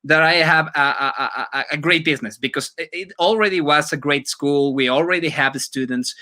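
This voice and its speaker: A middle-aged man from Mexico speaks English with a Mexican Spanish accent, stumbling over repeated words and fillers. His voice sits a little high and sounds bright and forceful. He talks like a podcast guest telling his story. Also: moderate pace. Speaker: guest, music school owner. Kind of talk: live podcast interview.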